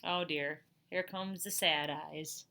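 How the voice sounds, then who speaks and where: Expressive woman reading a picture book aloud. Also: low voice, slow pace, gravelly. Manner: expressive, chatty with young listeners. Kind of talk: picture book read-aloud with casual asides.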